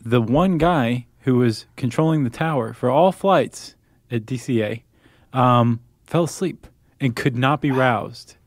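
A man's voice: medium pitch, a little slow, dry recording, clean studio sound. An American man co-hosting a podcast in casual, conversational style. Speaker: podcast co-host.

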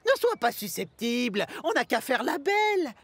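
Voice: sits high, very animated, moderate pace, fairly bright tone, husky, no echo, exaggerated voice. An actor voicing a cheeky, mischievous young tank engine in a children's cartoon, with an impish, teasing manner. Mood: smug teasing.

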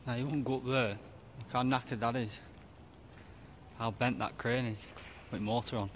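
A man's voice: mid-range voice, steady tone, moderate pace, a little hiss or hum. A man, giving offhand, mumbled commentary while filming inside a large empty factory hall on a handheld camera. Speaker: explorer filming. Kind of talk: casual walkthrough commentary. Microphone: handheld camera mic.

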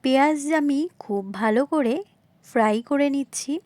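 A woman with a high voice gives a recipe voice-over. She explains each point politely, often restating it, and speaks straight to her viewers. The sound is close and dry. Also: normal speed, a little mellow, no room sound. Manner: polite, repetitive explaining, addressing viewers directly. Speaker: home cooking video host. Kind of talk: recipe narration, instructional voice-over.

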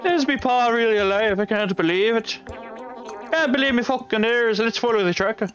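A man's voice: very high voice, moderate pace, muffled recording, over music, pitched above the performer's own voice. A man reading a young game character's lines in a put-on Irish accent, exaggerated and playful. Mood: excited.

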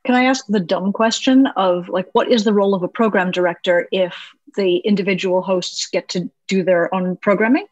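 A woman's voice: mid-range voice, fairly even intonation, normal speed, no room sound, dull audio. A woman hosting a podcast, asking questions in a curious, eager, chatty way. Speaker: podcast host. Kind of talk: casual interview chat. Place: home.

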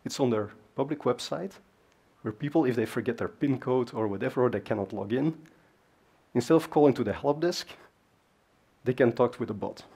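A man's voice: mid-range voice, normal speed, creaky, warm tone, slightly rough. A man, giving a casual technical conference talk on a stage, full of fillers and asides. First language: Dutch. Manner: conversational, many fillers and asides. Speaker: conference speaker. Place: large dark conference stage.

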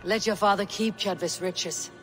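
A woman's voice: medium pitch, fairly even intonation, unhurried, fairly bright tone, natural voice. Woman acting tough loyal viking warrior lady, firm n terse, game cutscene w music. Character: resolute, loyal female Viking warrior, young adult.

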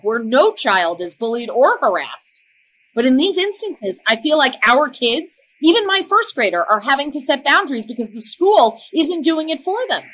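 A woman acting as a protective, middle-aged mother in a school drama. She is insistent and indignant, with a fairly high voice. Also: fairly bright tone, poor audio.

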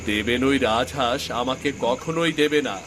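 পুরুষ কণ্ঠে তরুণের চেয়ে ভারী গলার চতুর গ্রাম্য জেলে. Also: male voice, medium pitch, moderate pace, noisy background, natural voice. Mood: scheming. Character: older village fisherman and husband, skeptical, slyly scheming. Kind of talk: cartoon dialogue acting. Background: music.

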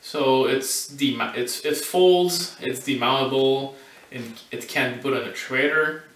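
A man in his thirties, co-hosting a sailing vlog at home, talking in a dry, deadpan way. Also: medium pitch, unhurried. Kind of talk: casual chat. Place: living room at home, indoors. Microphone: far camera. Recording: echoey room, mic at a distance.

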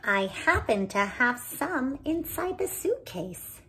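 A woman's voice: high-pitched, big pitch swings, slow pace, bright, forceful tone, voice pushed up, full character voice, poor audio. A woman voicing a kind, helpful male bird in a storybook, eager and a little timid. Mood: kindly. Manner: eager, obliging, slightly timid.

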